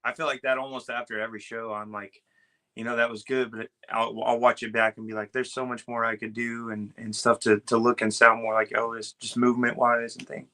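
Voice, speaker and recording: A man with a smooth, bright voice, a guest on a video call. His pitch is ordinary, and he talks earnestly and without hurry, padding his thoughts with "uh" and "you know". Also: little pitch movement, a little fast. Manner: earnest and easygoing, with many fillers like "uh" and "you know". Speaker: guest, Elvis tribute artist. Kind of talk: casual interview chat.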